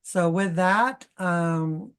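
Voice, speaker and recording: Low-voiced woman hosting an informal webinar. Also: clean tone. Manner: halting, self-correcting, conversational. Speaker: host introducing a guest speaker. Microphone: video call.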